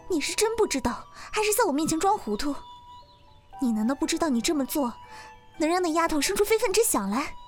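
Casting: female actor for stern, jealous young wife in period audio drama, haughty and accusing, high voice, music bed. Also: big pitch swings, a little fast. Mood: angry, indignant. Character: stern, jealous young wife, mistress of an old Chinese household.

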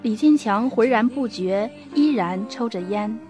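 A woman's voice: on the high side, expressive, a little slow, a little mellow, soft background music. A woman reading scripted narration for a radio drama as its storyteller.

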